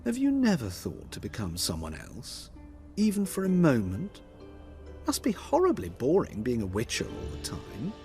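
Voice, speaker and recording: An actor playing a flamboyant, witty cross-dressing tailor in a fantasy video game, with a theatrical, coy delivery and drawn-out phrasing. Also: male voice, medium pitch, wildly swooping pitch, warm tone, faint music behind. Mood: wistful, playful. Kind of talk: video game dialogue acting.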